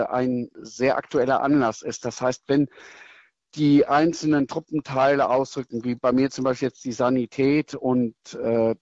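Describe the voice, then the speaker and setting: Middle-aged chaplain guy explaining things slowly, bit lo-fi, dry room. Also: mid-range voice, darkish tone, dry recording. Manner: thoughtful, measured, frequent hesitations and restarts. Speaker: military chaplain. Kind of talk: interview answers.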